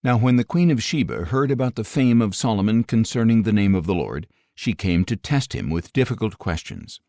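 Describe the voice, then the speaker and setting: A man narrating scripture as an audiobook reader, in a measured, deliberate way with clearly set-off phrases. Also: fairly low pitch, animated, a little mellow, no room sound, clean studio sound.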